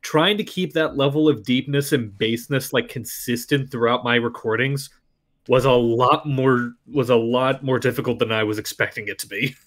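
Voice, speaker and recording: A man with a medium-pitched voice talks as a panelist. He is a voice actor, and he speaks in a casual, conversational way while answering questions. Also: normal speed, a bit bright.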